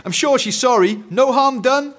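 A British man voicing a cheeky, guilt-ridden young boy in a children's story, excitable and pleading. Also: extremely high pitch, moderate pace, soft background music, pitched above the performer's own voice. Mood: sheepish, pleading.

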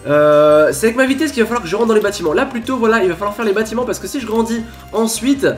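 Gaming host, male, high voice, a bit blocked up, chatty and playful. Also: rapid-fire, soft background music. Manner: chatty, playful, bursts into laughing shouts. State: stuffy with a cold. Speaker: gaming YouTuber. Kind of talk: excited Minecraft commentary.

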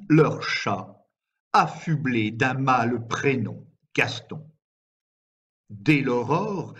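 A man reciting poetry in a measured, deliberate way, with frequent pauses between phrases. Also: medium pitch, fairly bright tone, slightly rough. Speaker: poet reading own work. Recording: slightly dull, lo-fi recording.

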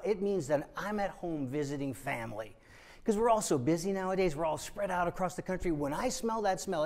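Energetic man giving a motivational speech. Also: fairly high pitch. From New England. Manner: animated, emphatic, pacing the stage. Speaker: keynote speaker. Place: large auditorium stage.

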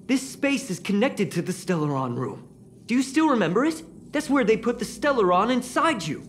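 A woman voicing an earnest, excitable young investigator in a sci-fi video game, expressively thinking aloud. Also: a little high, moderate pace, slight background noise. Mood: curious, analytical. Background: background music.

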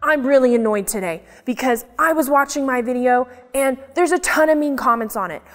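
A woman's voice: sits high, exaggerated voice. A woman playing a bubbly YouTuber girl. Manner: perky, exaggerated and sing-song. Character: bubbly young female YouTuber, excitable and dramatic. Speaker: children's show character. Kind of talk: kids' church show acting.

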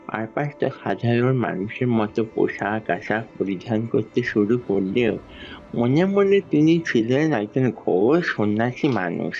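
A man playing a grave, elderly village priest, telling his tale in a measured, solemn way in a horror audio drama. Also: mid-range voice, darkish tone. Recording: slightly dull studio sound under music. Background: background music.

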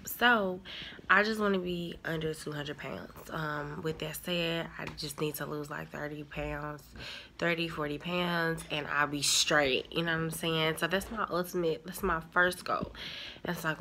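Vlogger: congested woman, 30s, bright and forceful, lowish voice, chatty to camera. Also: slight background noise. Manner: chatty, addresses viewers as y'all. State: congested from a cold. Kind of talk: casual chat to camera. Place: dining room at home, indoors. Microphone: handheld phone at arm's length.

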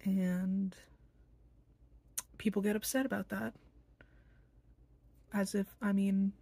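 Slow, husky, irritable young woman. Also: medium pitch, flat delivery, mellow, no echo. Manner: blunt, irritable, slow and halting with many fillers. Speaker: vlogger introducing themselves. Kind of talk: casual self-introduction to camera. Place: small bedroom indoors.

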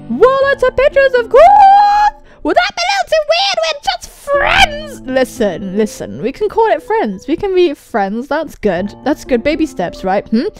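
A young woman, a gaming host, reading visual novel text aloud and commenting on it in a jokey, animated way with casual swearing. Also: very high voice, unhurried, over music. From England. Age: young adult. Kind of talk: let's play commentary and dramatic reading of on-screen dialogue. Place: indoor room. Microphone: large microphone close to the mouth.

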